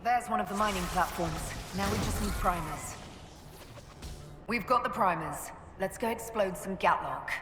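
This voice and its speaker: A woman's voice: medium pitch, moderate pace, close to an everyday voice. An actress playing a resolute, wry female inquisition leader in a fantasy video game. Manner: decisive, wry. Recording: hall reverb with music behind.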